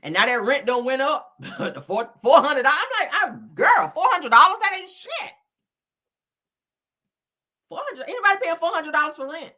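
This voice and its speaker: A woman giving animated online reaction commentary in a blunt, scolding, emphatic manner, swearing freely and repeating phrases for effect. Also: sits high, moderate pace, pauses often. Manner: blunt, scolding, heavy profanity. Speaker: YouTuber commentating on celebrity and viral topics.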